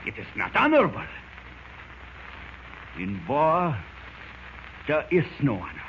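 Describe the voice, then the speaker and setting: A cunning, grandiose German spymaster from an old radio serial. The German accent is formal and deliberate, and the pitch swoops dramatically from hushed menace to gloating. The recording is dull and scratchy, with audible noise. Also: male voice, wildly swooping pitch, exaggerated voice. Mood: scornful, commanding. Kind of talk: 1940s radio acting.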